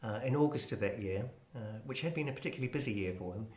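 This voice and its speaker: A man presenting an explanatory talk on classical music in a measured, hesitant, scholarly manner. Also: medium pitch, expressive. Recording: roomy, echoing space.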